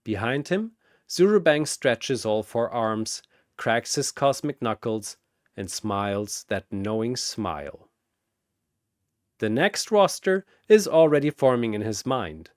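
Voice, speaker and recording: Slow, expressive male story narrator. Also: medium pitch, no echo, clean studio sound. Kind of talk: dramatic scripted story narration.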